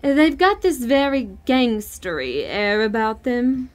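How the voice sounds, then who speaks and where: An actress playing a snobbish, complaining middle-aged wife in an audio story, disdainful and imperious. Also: female voice, on the high side, sing-song, takes it slowly.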